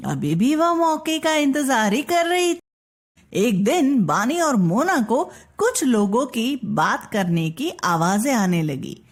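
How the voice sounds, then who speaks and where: Slow, animated woman telling a bedtime story. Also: on the high side. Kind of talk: expressive scripted children's bedtime-story narration.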